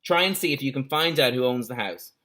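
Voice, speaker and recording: A man voicing a sensible, bossy schoolboy club leader in a children's story, brisk and questioning. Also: medium pitch, animated, pushed and bright.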